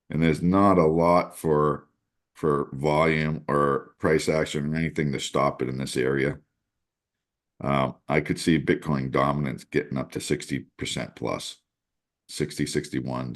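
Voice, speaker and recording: A man's voice: rumbling low, fairly even intonation, measured pace. A man co-hosting a casual talk show, giving chart commentary in a conversational way. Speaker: crypto show co-host, chart analyst.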